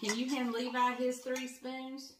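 A woman's voice: high voice, flat delivery, unhurried, very noisy. Chatty grandmother vlogging in her kitchen. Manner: chatty, rambling with many fillers. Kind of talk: casual vlog chat. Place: home kitchen, indoors. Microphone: camera close up, then further off at the counter.

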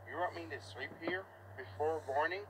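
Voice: mid-range voice, normal speed, natural voice. A performer voicing a scared, childlike talking cartoon dog, with garbled r-heavy words, on a noisy recording. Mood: anxious. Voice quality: garbled, r-laden mispronounced words.

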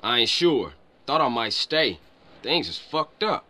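A man's voice: medium pitch, big pitch swings, unhurried, pushed and bright. An actor playing a loyal, easygoing young man back home, speaking casual street in a video game. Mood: subdued, sincere. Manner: casual, slangy. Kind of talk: video game cutscene acting.

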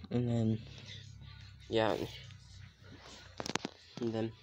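A man casually naming items as he films a cardboard model house on a handheld phone indoors, in short halting phrases. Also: a little mellow. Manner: halting, plain listing of items, some low mutters. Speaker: vlogger showing a cardboard house. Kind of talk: casual show-and-tell narration. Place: indoors, small home room. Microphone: handheld phone camera.

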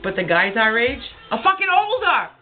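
A woman's voice: on the high side, very animated, normal speed. A woman in her fifties chatting at a table at home, blunt and wry, teasing her friend. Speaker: co-host. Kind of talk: casual chat. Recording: tinny, phone-like sound with music behind.

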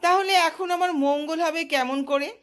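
A woman's voice: extremely high pitch, normal speed, fairly bright tone, voice pushed up. A woman storyteller voicing a distressed young goddess, a weary wife and daughter, in a plaintive, dramatic way. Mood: lamenting, anxious.

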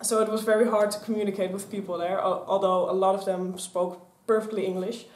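Dutch girl in her 20s rambling to a camera on a stand, mid pitch, roomy sound. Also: little pitch movement, a little fast, a little mellow. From Netherlands. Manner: chatty, rambling, gesturing a lot. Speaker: vlogger. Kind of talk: casual vlog chat. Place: small craft room with shelves and mannequins, indoors. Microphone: camera on a stand in front. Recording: echoey room.

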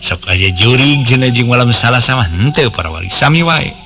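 A man's voice: mid-range voice, animated, brisk, pushed and bright. A man with a Sundanese accent telling a folk tale in a conversational way, with playful rhetorical questions and asides to his listeners. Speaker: storyteller. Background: background music.

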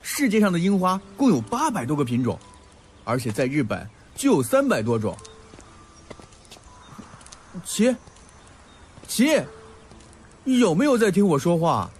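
A man's voice: high voice, huge pitch swings, a little slow, long pauses, exaggerated voice. A man playing a learned, earnest and sensitive young male student in an audio drama, talkative and heartfelt. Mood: hurt, annoyed. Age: young adult. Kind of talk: Mandarin audio drama acting. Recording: background music under the voice.

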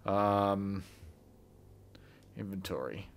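Slow-paced man thinking aloud over a game. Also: deep voice. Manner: thinks aloud, muttering through decisions. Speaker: gaming channel host. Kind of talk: casual let's play commentary. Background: game music.

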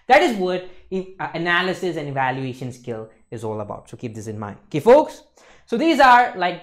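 A man in his twenties with an Indian accent, teaching an exam class to camera in an emphatic way, often checking in with his listeners. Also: a little high. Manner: emphatic, with frequent checking tags like "okay, folks". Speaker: accountancy exam tutor. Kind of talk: instructional lecture to camera. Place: studio.

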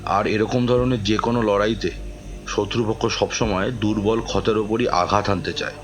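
A man playing a grave, protective uncle who battles spirits, solemn and deliberate, in a horror audio drama. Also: fairly low pitch, fairly even intonation, natural voice. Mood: grave, worried. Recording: music behind the voice.

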